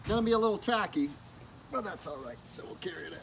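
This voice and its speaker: A man's voice: sits high, animated, quick pace, gravelly, a bit bright, slight background noise. A middle-aged man casually explaining a home project step by step in his garage and yard, filmed on a handheld camera. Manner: chatty, plainspoken, explains step by step. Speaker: DIY vlogger. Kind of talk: casual how-to vlog. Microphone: handheld camera close up.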